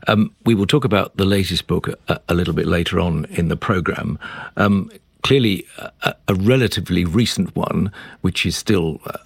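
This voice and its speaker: Deep-voiced British male radio presenter, husky. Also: normal speed, no room sound. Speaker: radio host. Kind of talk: live radio news-magazine interview.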